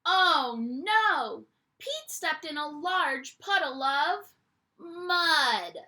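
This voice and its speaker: A young woman teacher reading a picture book aloud to children at home, in an animated storytime voice that invites them to join in. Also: high voice, very slow. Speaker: primary school teacher.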